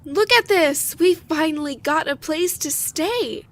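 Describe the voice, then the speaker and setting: A woman's voice: high voice, unhurried. A woman voicing a small, tired, eager and pleading creature child in an amateur audio story. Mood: excited. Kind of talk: amateur audio drama acting.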